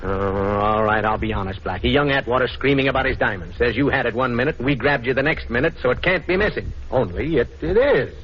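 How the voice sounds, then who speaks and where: A man's voice: medium pitch, brisk, hardly any pauses, close to an everyday voice. A man playing an exasperated, blustering police inspector who needles and wheedles in a 1940s radio crime drama. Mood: frank, pressing. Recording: dull, noisy 1940s radio recording.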